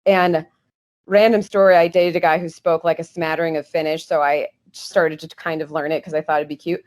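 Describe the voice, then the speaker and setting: A woman's voice: deep voice, level tone, fairly quick, darkish tone. A woman talking as a guest historian on a podcast, earnest and explanatory, with small restarts. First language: English.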